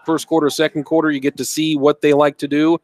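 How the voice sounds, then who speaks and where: A man's voice: a little high, little pitch movement, fast talker, a little mellow. A man hosting a sports radio show, asking conversational, leading questions.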